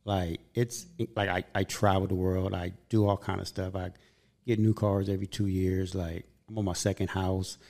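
A man's voice: low-pitched, level tone, moderate pace, a little mellow. A man in his fifties hosting a podcast, telling stories in an earnest, upbeat way during a casual chat. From Southern California. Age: 50s. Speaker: podcast host.

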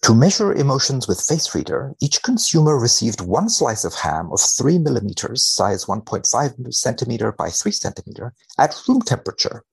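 A man speaking as a podcast guest in a measured, deadpan way with dry humour, both chatting and reading research aloud. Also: mid-range voice, gravelly, husky. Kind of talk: wry conversation and dramatic reading of a research paper.

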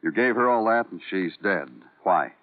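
An actor playing a somber police detective with measured, literary phrasing in an old-time radio noir drama. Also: male voice, deep voice, expressive. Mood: pressing. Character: somber, poetic male police detective narrating his case. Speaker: lead actor and narrator. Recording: tinny, band-limited vintage radio recording. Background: dramatic musical score.

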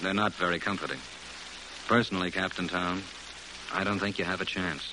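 A man's voice: low voice, fairly even intonation, fairly quick, close to an everyday voice. A man playing a grave military officer in a classic radio drama, giving a briefing in a clipped, emphatic, solemn way. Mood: somber. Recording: faintly noisy old radio recording.

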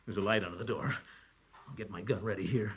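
An actor playing a blustering, jittery middle-aged amateur sleuth in 1940s radio comedy, muttering to himself and breaking into theatrical exclamations. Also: male voice, lowish voice, quick pace, background noise, lightly acted. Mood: shaky bravado. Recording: old radio broadcast with music behind.

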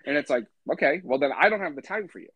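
A man's voice: a little high, animated, fast, slightly rough, no room sound, dull audio. A man hosting a podcast in a laid-back, casual way, swearing freely and saying "you know" a lot. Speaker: podcast host.

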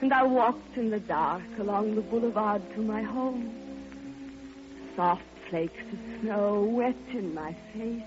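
An actress playing a passionate, lonely elderly widow, theatrical and yearning, in an old radio drama. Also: female voice, medium pitch, slow pace, lightly acted. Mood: wistful, impassioned. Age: older. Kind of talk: 1950s radio drama acting. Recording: dull old radio recording, faint music behind.

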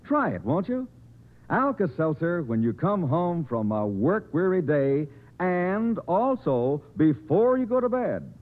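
A male announcer delivers a vintage radio commercial with a polished, emphatic sell. His slightly high voice swings widely in pitch. The 1950s recording sounds thin and muffled, like a telephone. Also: very animated, measured pace. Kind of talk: 1950s radio advertising read.

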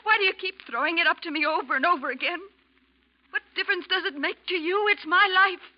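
A woman's voice: extremely high pitch, fast talker. A woman playing a wounded, fragile wife who blames herself, in an emotional 1940s radio melodrama style. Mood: tense, wounded. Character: distraught, wounded young wife, fragile and self-blaming. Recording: dull vintage radio recording.

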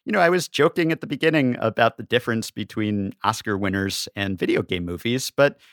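A man's voice: on the low side, lively intonation, a little fast, no room sound, clean studio sound. A man talking analytically as a podcast guest, casual, wry and careful to qualify what he says. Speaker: podcast guest, staff writer.

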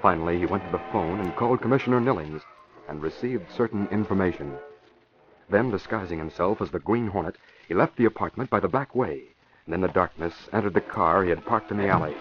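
A man's voice: low-pitched, fairly quick. A man narrating a crime serial as announcer, in a scripted, dramatic old-time radio style. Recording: muffled vintage radio recording with music.

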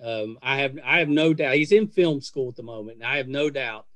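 A man's voice: medium pitch, fairly quick, fairly bright tone, dry recording. A middle-aged man hosting a podcast in a casual conversational interview style, effusive and full of praise. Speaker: podcast host.

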